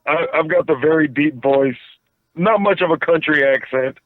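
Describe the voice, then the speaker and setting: A man's voice: a little high, moderate pace, no echo. A man phoning in to a casual talk show, telling a rambling story and stumbling over his words. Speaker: phone-in guest. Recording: tinny phone line.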